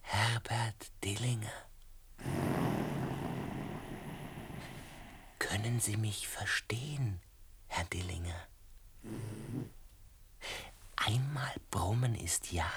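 An actor playing an earnest, awed lodger in a radio drama, grave and halting, with a breathy, whispery voice. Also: male voice, mid-range voice, noisy background. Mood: hushed, probing. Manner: halting, hushed, grave, deliberate. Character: earnest male lodger and butterfly breeder, awed by dread. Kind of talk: German radio drama acting.

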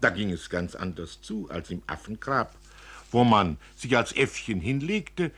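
A man giving an expressive reading of literary prose, as an author reading from his own novel. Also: lowish voice, unhurried, slightly rough.

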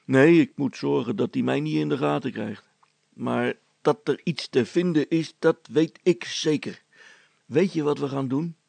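A man's voice: medium pitch, measured pace, gravelly, darkish tone, no echo. A man voicing a bossy, scheming village policeman with a self-important, colloquial manner in a children's story.

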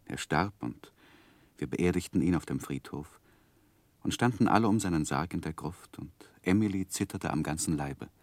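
An actor playing a grown man haunted by his past, narrating gravely and haltingly in a 1960s German radio drama. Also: low voice, lively intonation, darkish tone, no echo. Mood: grave, reflective.

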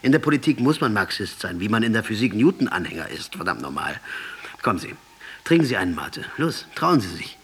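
An actor in a German radio play voicing a fervent, mocking revolutionary commander with a crude mouth. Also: male voice, lowish voice, very animated, fairly quick, a bit bright, slightly rough, exaggerated voice. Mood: scornful provocation. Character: fervent, defiant Latin American guerrilla commander and revolutionary. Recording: noticeable background noise.

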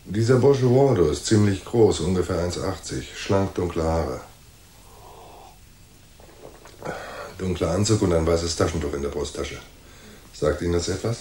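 A man's voice: low-pitched, moderate pace, warm tone, close to an everyday voice. An actor playing a cocky, wry and unflappable male private detective in a German radio crime drama. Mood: probing. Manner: wry, unflappable, mock-courteous. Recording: roomy echo with faint noise.

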